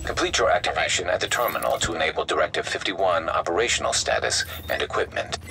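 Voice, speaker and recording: Very deep, gravelly male computer voice. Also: sing-song, fast, music in the background, exaggerated voice, rough recording. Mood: impersonal. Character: impersonal computer system voice, male, procedural. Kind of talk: game system announcement.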